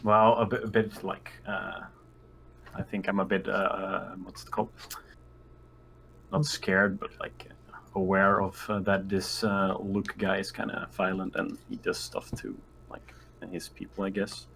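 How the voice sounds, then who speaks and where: A man's voice: low-pitched, flat delivery, unhurried, vocal fry, pushed and bright, a little hiss or hum, low-quality recording. A man chatting casually as a player in a tabletop roleplaying game.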